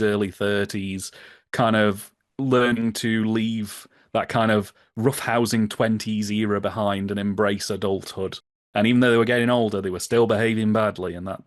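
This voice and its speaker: A man's voice: on the low side, dry recording, studio quality. A British man chatting casually as a podcast guest, wry and informative as he explains things.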